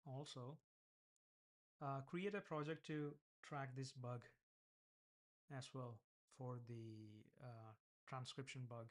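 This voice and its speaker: A man's voice: mid-range voice, fairly even intonation, slow. Hesitant Indian-accented man thinking aloud. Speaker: vlogger building an app. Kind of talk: casual screen-recorded coding vlog.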